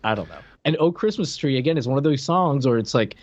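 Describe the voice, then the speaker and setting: Animated man co-host, dry close sound. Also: mid-range voice, fast talker, no room sound, dull audio. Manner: joking, irreverent. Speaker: podcast co-host. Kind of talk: casual review chat. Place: dim room at home, video call.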